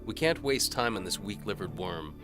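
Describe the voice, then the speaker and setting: A man plays a rebellious townsman in a fantasy audio drama. He is blunt and scornful, with his voice lifted and forceful. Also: on the low side, big pitch swings, moderate pace, over music, pitched above the performer's own voice. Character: gruff, scornful male townsman leading a rebellion.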